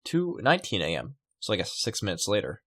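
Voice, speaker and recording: A man's voice: on the low side, huge pitch swings, fairly quick, fairly bright tone, breathy edge. A man hosting a gaming video, reading a horror visual novel aloud as dramatic narration.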